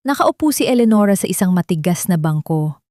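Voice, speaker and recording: A woman's voice: fairly low pitch, big pitch swings, a little fast, warm tone, dry recording, clean studio sound. A woman narrator reading a scripted, dramatic love story.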